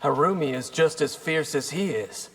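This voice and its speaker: A man's voice: a little high, normal speed, fairly bright tone, slightly rough. A man playing a friendly, competitive young warrior in a video game, light and confident. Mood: playful. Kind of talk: video game character acting.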